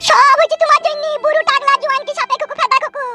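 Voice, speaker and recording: A voice performer playing a shrill, nagging mother in a cartoon, who scolds her husband without pause. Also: steady tone. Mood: scolding. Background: background music.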